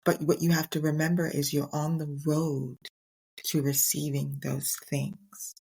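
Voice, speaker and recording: A middle-aged woman hosting a motivational podcast on her own, speaking earnestly and emphatically in short, deliberate phrases. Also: low voice, little pitch movement, vocal fry, dark tone, slightly rough. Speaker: podcast host. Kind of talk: motivational solo podcast talk.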